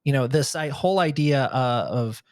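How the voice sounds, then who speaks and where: A man's voice: mid-range voice, normal speed, darkish tone, dry recording, clean studio sound. A man hosting a podcast, asking long rambling questions with many restarts. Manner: rambling, self-interrupting questions. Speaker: podcast host. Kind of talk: casual interview chat.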